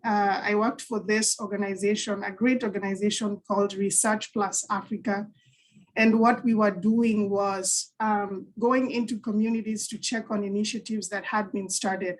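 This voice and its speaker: A woman's voice: medium pitch, little pitch movement, normal speed, poor audio. A young woman from Kenya, giving a story-driven talk as guest speaker at a virtual session, chatty with frequent ums and light laughs.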